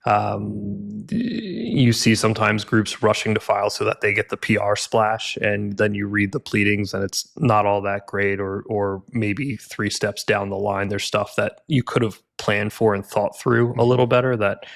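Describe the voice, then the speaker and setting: Low, gravelly man explaining lawsuits. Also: steady tone, a bit bright. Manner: measured, methodical, legal explanations. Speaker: guest from a gun rights legal group. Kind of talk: explaining litigation in a podcast interview.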